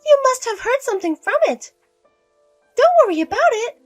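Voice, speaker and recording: A woman voicing a scheming older mother-in-law who talks in a shrill, scornful, domineering way. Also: big pitch swings, normal speed, soft background music. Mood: indignant.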